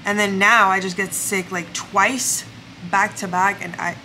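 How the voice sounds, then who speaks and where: A woman's voice: medium pitch, steady tone, measured pace, pushed and bright, a little hiss or hum, rough recording. A woman in her twenties with a congested voice from the flu, chatting casually to the camera at her vanity in her bedroom, full of "like" fillers. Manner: chatty, storytelling, lots of "like" fillers. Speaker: lifestyle vlogger. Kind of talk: casual vlog chat to camera. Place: home bedroom, indoors.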